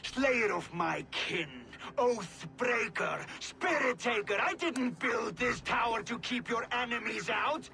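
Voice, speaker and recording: An actor playing an enraged giant builder in a video game, bellowing accusations in a gravelly voice. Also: male voice, very high voice, slow, few pauses, sharp tone, exaggerated voice. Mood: furious.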